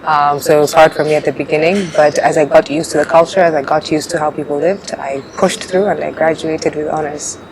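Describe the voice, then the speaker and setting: A young woman in her twenties, born in Uganda, answering interview questions in a relaxed way with frequent fillers. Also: sits low, brisk, few pauses, crowd noise. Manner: relaxed, laughs often, hesitant fillers. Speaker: pageant contestant guest. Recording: noisy background.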